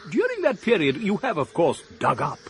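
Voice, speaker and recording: An actor playing a pompous, wordy gentleman scholar in a radio drama. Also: high-pitched, wildly swooping pitch, moderate pace, a little hiss or hum, full character voice. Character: learned, eccentric gentleman scholar, pompous and curious. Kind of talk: 1980s radio drama acting.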